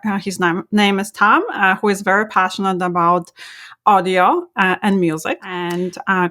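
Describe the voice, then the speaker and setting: Bright-voiced woman. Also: on the low side. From Poland. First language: Polish. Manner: animated storyteller, laughs often, many filler uhs. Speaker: guest. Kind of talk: casual interview, life story.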